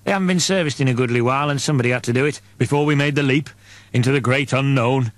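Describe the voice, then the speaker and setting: A man's voice: medium pitch, fast talker, no room sound, lightly acted. Man putting on a genteel, innocent-sounding priest. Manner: genteel, mild, pious. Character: smug male priest, innocently genteel and unctuous.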